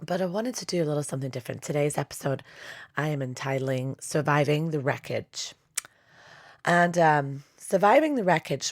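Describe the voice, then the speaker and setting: A woman in her thirties hosting her own podcast in a casual, confiding chat. Her voice is husky and a little hoarse as it recovers from being lost, and she talks with plenty of fillers. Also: low voice, no echo, studio quality. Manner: chatty and confiding, many fillers. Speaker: podcast host. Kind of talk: casual solo podcast talk.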